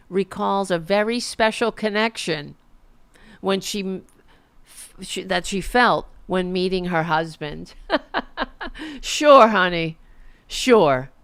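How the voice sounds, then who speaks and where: A woman unhurriedly mocking the news on her home livestream, sarcastic and quick to swear. Her voice is smooth and resonant underneath, with a gravelly fry, and she is starting to lose it. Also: medium pitch, a bit bright. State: losing her voice. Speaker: political commentary host. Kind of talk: casual livestream commentary.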